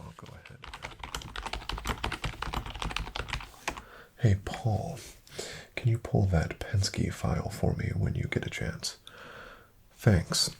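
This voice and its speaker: Guy doing a suave financial advisor asmr roleplay, super gravelly hushed voice, slow and flattering. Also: low-pitched, very noisy, exaggerated voice. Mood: businesslike, hushed. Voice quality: soft, breathy, often near a whisper. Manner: hushed, courteous, unhurried, smoothly deferential. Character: suave, flattering male financial advisor to wealthy elite clients. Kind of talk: soft-spoken ASMR roleplay.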